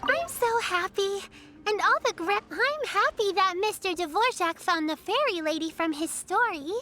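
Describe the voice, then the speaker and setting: A woman voicing an excitable, innocent young girl in a video game, chatty and childlike. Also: very high-pitched, a little slow, slight background noise, heavily acted. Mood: happy, eager. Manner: eager, childlike, exclamatory. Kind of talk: video game character acting.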